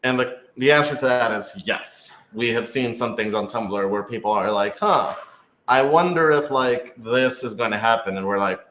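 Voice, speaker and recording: A man's voice: mid-range voice, moderate pace, a bit bright, a little hiss or hum. A man chatting casually and unscripted on a live stream, thoughtful and hedging, with joking asides. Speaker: radio drama creator answering fan questions. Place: office, indoors. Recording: roomy, echoey indoor room.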